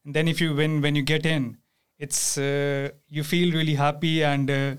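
A young man from India, a student guest who speaks earnestly and haltingly, with many "uh"s and "like"s. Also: fairly high pitch, level tone, clear, resonant tone.

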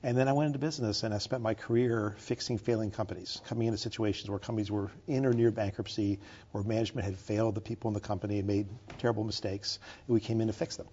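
A man's voice: lowish voice, fairly even intonation, fast talker, dark tone, dull audio, a little hiss or hum. A man from upstate New York, a candidate for governor, giving confident, polished answers in an interview. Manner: confident, polished talking points. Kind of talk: campaign interview.

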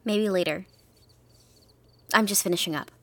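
A woman's voice: mid-range voice, normal speed, slight background noise, lightly acted. A woman playing a reluctant, sullen girl who answers her mother in terse, grudging phrases in an audio drama. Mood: irritated. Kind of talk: naturalistic audio drama acting.

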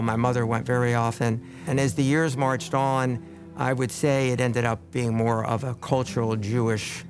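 A man with a gravelly voice tells his own story, measured and matter-of-fact. His voice sits in a middle register. Soft music plays behind him. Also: mid-range voice. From Philadelphia, United States. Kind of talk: testimony interview.

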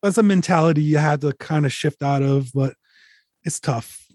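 A man in his forties, a touring musician, telling candid road stories in a relaxed, rambling way, swearing freely. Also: on the high side, normal speed, no echo, studio quality. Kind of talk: casual interview, candid storytelling.

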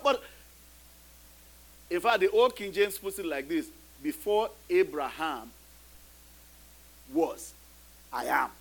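A man's voice: a little high, lively intonation, slow, long pauses. A middle-aged man, a pastor whose first language is not English, preaching a sermon with emphatic, repeated phrases that build. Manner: preaching with rising emphatic repetitions.

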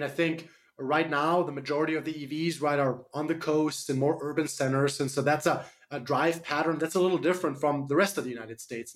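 Interview guest: man with a German accent, somewhat high-pitched, halting delivery full of "uh"s. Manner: hesitant, many fillers and restarts. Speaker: guest, startup CEO. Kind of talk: radio interview.

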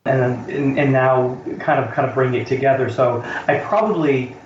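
A man's voice: medium pitch, normal speed, slight background noise. Middle-aged American man, reflective guest, restarts a lot while he thinks; echoey, slightly muffled sound. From United States. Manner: thoughtful, repeats words while searching for phrasing. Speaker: college instructor, interview guest. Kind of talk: reflective interview conversation. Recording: roomy, slightly dull recording.

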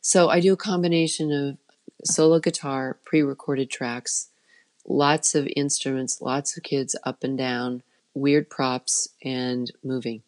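A middle-aged woman with a soft voice, a children's musician chatting casually in an interview and often trailing off and restarting. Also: extremely low pitch, steady tone, unhurried.

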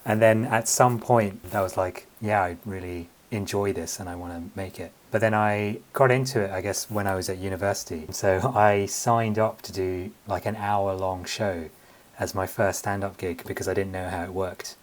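Male guest, London accent, low-pitched voice, informal self-deprecating conversational delivery. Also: fairly even intonation, moderate pace. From London, England. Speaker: guest, comedy writer. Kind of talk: casual interview chat.

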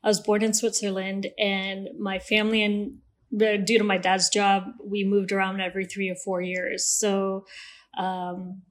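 A woman with an American accent and a mid-range voice speaks as a podcast guest. She is relaxed and talkative, with frequent ums and laughs. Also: medium pitch, unhurried. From Malaysia. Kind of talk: casual interview.

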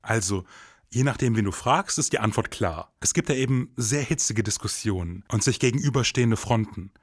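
Low-voiced man hosting a scripted podcast. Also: animated, brisk, breathy edge, no echo, studio quality. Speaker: podcast host and investigator. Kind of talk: scripted podcast dialogue and narration.

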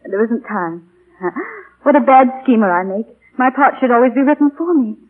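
An actress playing a lovelorn, proud young stage actress in a 1940s radio drama, with wistful, theatrical phrasing. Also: female voice, on the high side, animated, moderate pace, phone-quality sound. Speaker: radio actor. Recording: thin, band-limited 1940 radio broadcast.

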